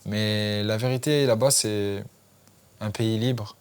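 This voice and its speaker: A man's voice: fairly low pitch, fairly even intonation, takes it slowly, clean tone. A man, a guest fighter, talking casually on a studio podcast in a loose, informal way, full of tag phrases. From France.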